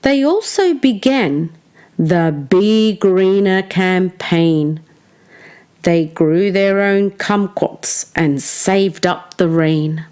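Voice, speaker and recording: A woman teacher leading a children's storytime, expressive and encouraging, speaking directly to young listeners. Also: fairly low pitch, clean tone. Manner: encouraging, addresses children directly with pauses for answers. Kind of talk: children's storytime lesson, expressive read-aloud.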